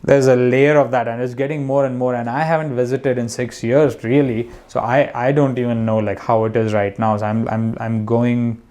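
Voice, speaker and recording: A man's voice: mid-range voice, flat delivery, normal speed, few pauses, darkish tone. A young man from India, a comedian guest, talking casually with many restarts and fillers. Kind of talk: casual conversation.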